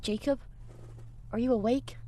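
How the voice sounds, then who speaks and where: An actor voicing a shy, eager young boy in a video game, hushed and hesitant. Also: mid-range voice, fairly even intonation, a little slow, a little hiss or hum, natural voice. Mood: shy, secretive.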